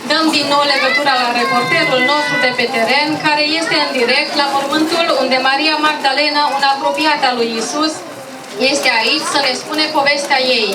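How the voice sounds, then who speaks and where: A woman's voice: sits high, steady tone, lightly acted. A woman playing a formal, polite TV news anchor in a scripted puppet show newscast. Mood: formal, brisk. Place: echoey live puppet show with audience. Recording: echoey, distant, noisy, crowd behind.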